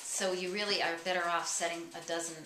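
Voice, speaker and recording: A woman's voice: fairly low pitch, flat delivery, fast talker. A middle-aged woman who runs a farm stand, explaining her eggs to a customer in a friendly way. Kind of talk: casual chat. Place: small indoor farm store. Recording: distant, echoey, noisy room.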